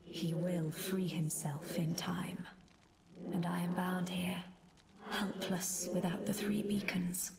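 Hushed, solemn ancient guardian spirit. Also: female voice, lowish voice, little pitch movement, creaky, a crowd in the background. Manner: grave, measured, portentous. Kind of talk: video game voice acting.

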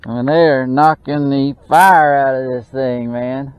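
A man giving excited running commentary while fishing from a small boat on a lake, recorded on a boat-mounted action camera. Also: medium pitch, slow. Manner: excited, drawling exclamations and mutters to the fish. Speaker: fishing channel host. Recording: dull action-camera audio with faint noise.

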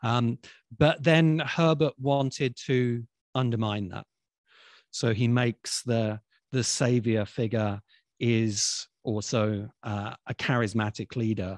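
A man in his forties explaining things conversationally in a thoughtful, hesitant way, pausing often, speaking into a close desk microphone. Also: lowish voice, a little mellow, no room sound. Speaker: guest expert on science fiction. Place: indoor room on a video call.

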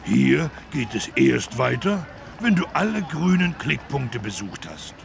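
A man voicing a big, good-natured, childlike talking elephant with a gravelly voice in a children's learning game. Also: mid-range voice, big pitch swings, exaggerated voice. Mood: wondering, friendly. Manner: slow, wondering, naive.

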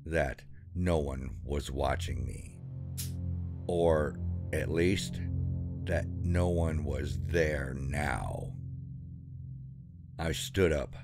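A man with an extremely deep voice narrates a first-person horror story. He speaks very slowly and deliberately, in a measured, ominous way. Clear music plays beneath the voice-over.

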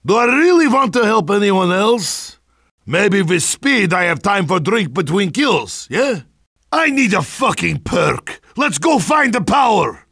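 A boozy, sardonic Russian soldier for a video game. His voice sits high and swings widely, with a forceful edge. He blusters and mocks in thick Russian-accented broken English, dropping his articles. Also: big pitch swings, measured pace, gravelly, slightly rough, no echo, clean studio sound, heavily acted. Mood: impatient, boisterous. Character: hard-drinking boisterous Russian soldier, gruff and sardonic. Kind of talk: video game character barks.

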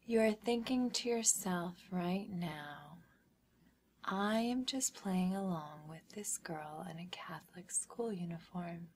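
A woman performing a whispered ASMR hypnosis role-play as a smug, controlling schoolgirl, with deliberate, commanding phrasing. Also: lowish voice, a little mellow, slightly rough.

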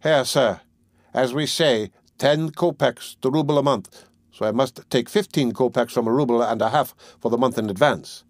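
A man voicing a severe, stingy old woman pawnbroker who talks in a curt, sharp, haggling way. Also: mid-range voice, moderate pace, dry recording, clean studio sound, close to an everyday voice.

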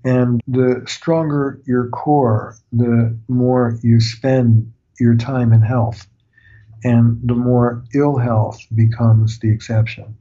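Older male therapist guest, mellow, husky, earnest explainer, slightly dull audio. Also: mid-range voice, little pitch movement, slow pace. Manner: earnest, explanatory, uses analogies. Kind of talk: reflective interview talk.

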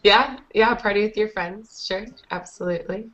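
A woman's voice: medium pitch, normal speed, fairly bright tone. A young woman teaching a conversational English lesson to a group online, in an encouraging way, on a headset. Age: young adult. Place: small indoor room, group video call. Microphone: headset boom mic. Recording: dull lo-fi video call headset audio.

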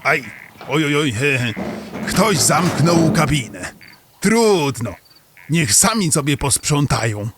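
Brief: man acting a nosy, grumbling waste-site worker in a kids' audio play, slow, talking to himself. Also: a little high, lively intonation, a bit bright, a little hiss or hum. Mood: grumbling, curious. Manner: muttering to himself. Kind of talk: children's audio drama acting.

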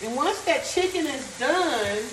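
A woman's voice: high-pitched, lively intonation, unhurried, fairly bright tone. Chatty woman cooking in an echoey kitchen. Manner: chatty, thinks aloud, reads recipe steps. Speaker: cooking vlogger. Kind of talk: casual cooking vlog chat. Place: home kitchen. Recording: echoey kitchen, mic at a distance, frying noise. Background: sizzling and stirring in a pan.

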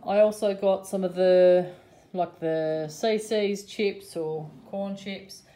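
A woman's voice: mid-range voice, fairly even intonation, slow, mellow. A middle-aged Australian woman chatting casually through her grocery haul, with frequent ums and price comments. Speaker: vlogger showing a grocery haul. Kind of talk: casual chat. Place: home kitchen or dining room. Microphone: phone camera held over a table.

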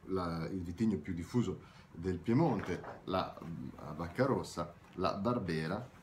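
A man's voice: deep voice, measured pace, a little hiss or hum. A man in his thirties explaining wine tasting in a video tutorial, talking animatedly to the camera from a room at home. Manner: animated, gesturing, explanatory with self-corrections. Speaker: wine educator.

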